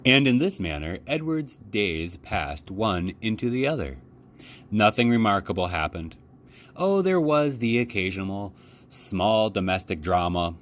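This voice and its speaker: A man reading a children's book aloud as a storytime host, with measured, deliberate phrasing and dramatic emphasis. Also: on the low side, big pitch swings.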